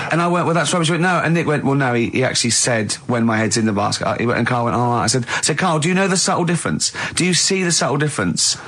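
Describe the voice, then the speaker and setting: Bloke on a comedy radio show, bright punchy voice, chatting and retelling stuff. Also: male voice, mid-range voice, animated, fast. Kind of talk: casual comic banter.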